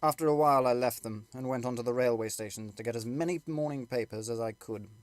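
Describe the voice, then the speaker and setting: Male narrator for classic fiction, mid-pitched, very dry recording, scripted literary delivery. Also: quick pace, no room sound. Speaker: audiobook narrator.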